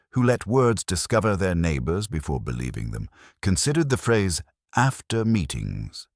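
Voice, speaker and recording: A man narrating a detective story in a measured, dry, formal storytelling manner. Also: a bass voice, no room sound. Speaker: audiobook narrator.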